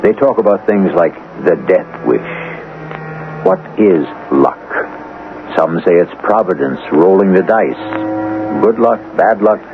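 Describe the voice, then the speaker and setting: A man's voice: deep voice, animated, measured pace, noisy background. A man hosting a radio mystery series with theatrical, portentous scripted narration full of rhetorical questions. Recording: tinny old radio broadcast. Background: music.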